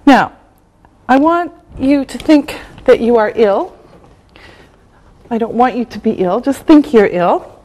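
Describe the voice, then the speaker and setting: A high-voiced woman teaches a beginner English lesson. She speaks very slowly and carefully, repeats each phrase, and checks understanding with a frequent "okay?". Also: lively intonation, darkish tone, rough recording. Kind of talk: slow, deliberate classroom teaching.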